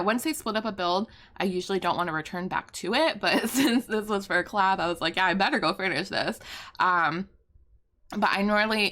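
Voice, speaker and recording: A young American woman, a little hoarse, chatting casually and rambling with fillers as she talks through a building video from her home setup. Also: mid-range voice, fairly bright tone. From United States. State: slightly hoarse. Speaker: YouTuber and streamer. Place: home room with pastel backdrop and fairy lights.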